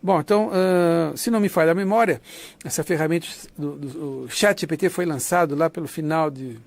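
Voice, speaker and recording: A man's voice: fairly high pitch, fairly quick, creaky. A man with a Brazilian accent lecturing in an informal, conversational teaching style, often checking in with short tag questions. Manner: didactic, conversational, often seeks confirmation with tags. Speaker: lecturer of an academic writing course. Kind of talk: online lecture, informal explanation.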